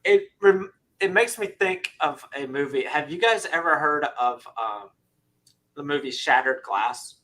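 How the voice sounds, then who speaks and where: A man in his thirties with a bright, forceful tone and a slightly higher voice than most men. He talks animatedly on a casual podcast panel, circling back and repeating words as he works toward his point. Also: measured pace. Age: 30s. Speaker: podcast co-host.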